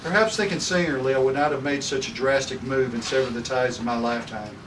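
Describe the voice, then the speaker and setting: A man's voice: mid-range voice, fast, close to an everyday voice. A man reading a solemn, formal professor and guardian at a script table read, in a stately, declamatory manner. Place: room at a group table read. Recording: echoey noisy room, far from mic.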